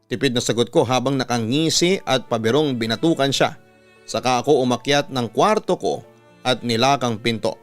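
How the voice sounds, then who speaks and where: A Filipino man, a radio announcer, reading out a confessional letter as a dramatic storyteller. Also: mid-range voice. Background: background music.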